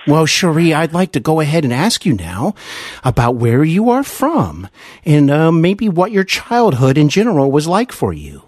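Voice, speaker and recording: An American man hosting an interview podcast, asking questions in a polite, conversational, unhurried manner. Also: fairly high pitch, huge pitch swings, darkish tone, no room sound. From United States.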